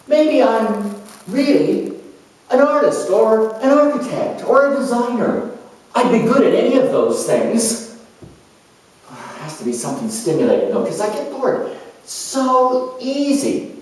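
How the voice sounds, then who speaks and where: Man playing a high-pitched, flighty young chatterbox in an echoey room. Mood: restless, gushing. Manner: excitable, scattered, gushing, jumping between subjects. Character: flighty, chatty, easily bored young student embodying Gemini. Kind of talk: theatrical solo character monologue. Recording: very echoey room, distant mic.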